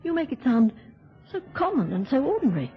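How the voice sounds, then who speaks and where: An anxious, tender-hearted woman in an old radio mystery. Her voice is fairly high and swings expressively, on a dull recording with some noise. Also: sing-song, a little fast. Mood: dismayed. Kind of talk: vintage radio drama acting.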